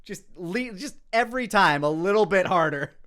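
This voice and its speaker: A man's voice: high voice, animated, moderate pace, pushed and bright. A man giving casual creator commentary in a rambling, profane, conversational way. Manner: rambling, profane, quotes lines aloud.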